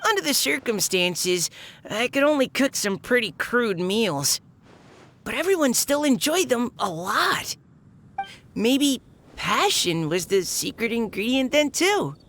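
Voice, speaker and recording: An eager young adventurer in a video game, her mid-height voice rising and falling with lively expression. She sounds curious and keen. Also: on the high side, unhurried, full character voice. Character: eager young woman adventurer who cooks for her party. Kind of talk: video game cutscene voice acting.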